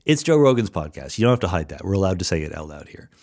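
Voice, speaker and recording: A middle-aged man hosting a podcast, answering listener questions in a relaxed, thoughtful monologue with frequent fillers and restarts. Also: low-pitched, fast talker. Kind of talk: casual solo monologue.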